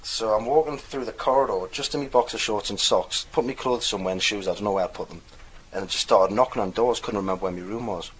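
A man's voice: fairly low pitch, quick pace, hardly any pauses, fairly bright tone, slight background noise, rough recording. A young man from North East England with a mild Geordie accent, telling a loose, rambling anecdote in a casual recorded interview. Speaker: dialect archive speaker.

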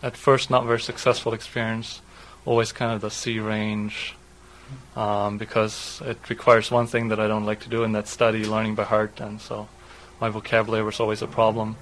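A man in his twenties from southwest Germany, a native German speaker with a German accent, speaking in a halting, explanatory way. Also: on the low side, monotone, fairly bright tone, a little hiss or hum, poor audio. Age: 20s. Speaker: interviewee, doctoral student. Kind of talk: read passage, then unscripted personal account.

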